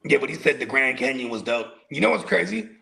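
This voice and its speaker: A man's voice: medium pitch, very animated, fast, gravelly, pushed and bright, poor audio. A man in his forties co-hosting a podcast, rambling through casual, profane banter and laughing often. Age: 40s. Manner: rambling, laughs often, says "you know what I mean". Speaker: podcast co-host.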